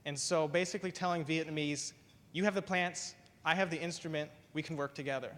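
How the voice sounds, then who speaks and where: A man, a graduate plant researcher, giving a prepared talk and then answering on stage in a measured way, often saying "basically". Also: on the high side, steady tone, a bit bright, slight background noise. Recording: long hall reverb.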